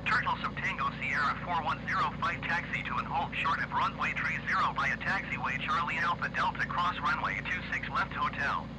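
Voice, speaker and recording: A male ground controller gives brisk, formulaic taxi instructions. His slightly raised voice comes through a distant-sounding radio transmission with noise behind it. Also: a little high. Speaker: air traffic controller. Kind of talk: radio phraseology.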